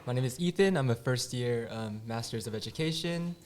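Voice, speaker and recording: A man's voice: medium pitch, fairly quick, a little mellow. A man in his twenties from the Bay Area, chatting casually on a student podcast, rambling with lots of fillers and frequent laughter. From Bay Area, California. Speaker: podcast guest. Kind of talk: casual chat.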